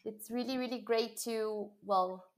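A woman hosting a podcast interview, asking questions in a friendly, measured way with pauses, recorded remotely. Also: on the high side, steady tone. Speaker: podcast host. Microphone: remote call recording.

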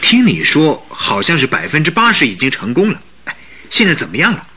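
An actor playing a loud, impatient young king in a Mandarin radio drama, booming out urgent questions. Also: huge pitch swings, brisk, a little hiss or hum, full character voice.

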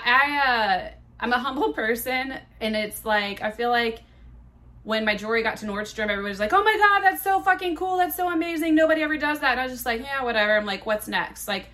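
A woman's voice: medium pitch, pushed and bright. A woman in her thirties hosting her own podcast, telling stories in a chatty, profane, self-mocking way with a big voice. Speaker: podcast host. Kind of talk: casual solo podcast storytelling.

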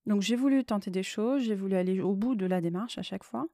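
A woman's voice: mid-range voice, fast talker, warm tone, dry recording, clean studio sound. A woman hosting a solo podcast, speaking unscripted in a reflective, explanatory way and often correcting herself as she goes. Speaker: podcast host and novelist.

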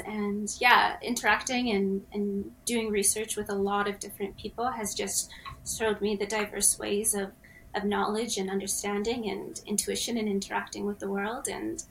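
A young woman with a mid-pitched voice introduces herself in a casual roundtable. She is thoughtful and measured, on an online call with faint background noise. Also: low-quality recording. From Canada, born in Egypt. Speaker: roundtable guest, PhD researcher.

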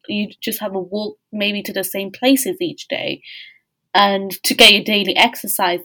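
Woman podcast host chatting casually, mid pitch, informal, says like a lot. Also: a bit bright, poor audio. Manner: informal, frequent "like". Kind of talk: casual interview chat.

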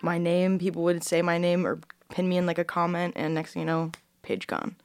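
A woman's voice: fairly low pitch, flat delivery, fairly quick, no echo, studio quality. A woman born in Russia, a guest on a podcast, chatting in a laid-back way and swearing casually. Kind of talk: casual interview chat.

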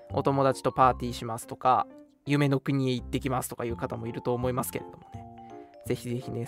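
A young man speaks as a casual radio host. His delivery is rapid and swings widely in pitch, with playful self-deprecation. Music plays softly behind him. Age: young adult. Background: background music.

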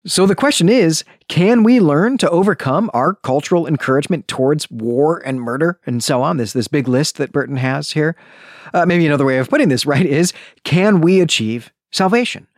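A man's voice: on the high side, lively intonation, normal speed, no room sound, studio quality. A man hosting a podcast, giving semi-scripted literary analysis in an emphatic, explanatory way, often checking in with the listener. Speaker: podcast host.

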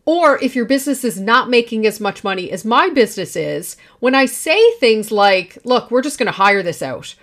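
A woman in her forties speaks on her own podcast, her voice pitched a little high. She talks with confidence and projects strongly, leaning hard on her points. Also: expressive, normal speed, hardly any pauses, a bit bright. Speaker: podcast host. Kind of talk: solo podcast monologue.

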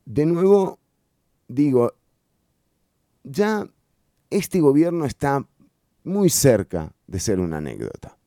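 Radio host, male; slow, emphatic opinion delivery, dry studio sound. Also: mid-range voice, sing-song, mellow, no room sound. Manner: emphatic, repeats key phrases for stress. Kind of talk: political opinion commentary.

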